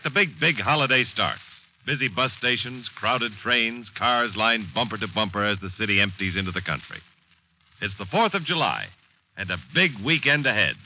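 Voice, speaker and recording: A man's voice: medium pitch, sharp tone, poor audio. A man announcing on 1950s radio with an emphatic, polished sales delivery. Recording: old radio broadcast, faint noise.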